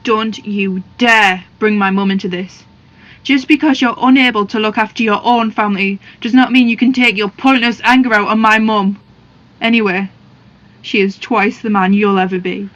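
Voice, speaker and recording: A woman playing a fiery, defiant wife in an audio drama, with a scornful, forceful manner. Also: mid-range voice, fairly even intonation, normal speed, highs cut off, close to an everyday voice. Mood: indignant. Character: fiery, defiant wife defending her mother.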